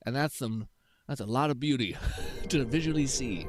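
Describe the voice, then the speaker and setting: A man's voice: medium pitch, normal speed, background noise. A young adult man streaming live, chatting with his viewers in a rambling, laugh-filled way with many restarts. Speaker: streamer reading chat. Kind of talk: casual live-stream chat.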